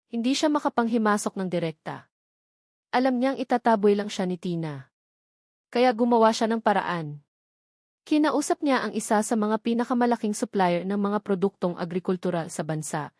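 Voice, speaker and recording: A woman reads a dramatic love story as scripted narration, in a measured, emotive storytelling way. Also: medium pitch, darkish tone, dry recording, clean studio sound.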